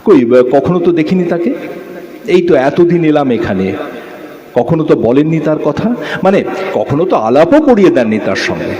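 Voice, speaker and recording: A man's voice: fairly high pitch, big pitch swings, a little fast, lo-fi sound, crowd noise. A man playing a courteous, curious Bengali visitor who narrates his memory in an audio drama, with hesitant speech in dialogue. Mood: curious, puzzled. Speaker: narrator and character. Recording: clearly noisy background ambience.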